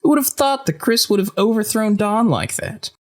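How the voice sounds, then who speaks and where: A man's voice: high-pitched, lively intonation, normal speed. A man playing a talkative, theatrical fight-ring boss with a morbid streak in an audio drama. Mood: breezy, morbid.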